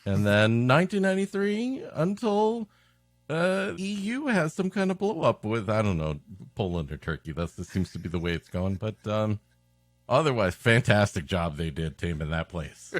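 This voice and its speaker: A man's voice: lowish voice, very animated, moderate pace, no room sound, faint music behind. A man co-hosting a comedy podcast, riffing in a sarcastic, mock-exasperated way. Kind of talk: joking riffing on a story being read.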